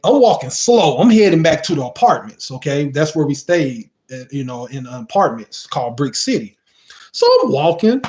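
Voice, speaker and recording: A young man, telling a childhood story casually to viewers and acting out the quotes. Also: fairly high pitch. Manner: animated, acts out quotes, asks "you see what I mean?". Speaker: storytime vlogger.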